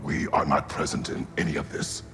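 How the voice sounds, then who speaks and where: Brief: a gruff, gravelly game warrior god, curt and commanding, extremely low voice. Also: male voice, little pitch movement, fairly quick, fairly bright tone, soft background music. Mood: stern. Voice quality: very deep, gravelly. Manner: terse, commanding. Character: stern gruff warrior god father, older man. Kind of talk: video game cutscene acting.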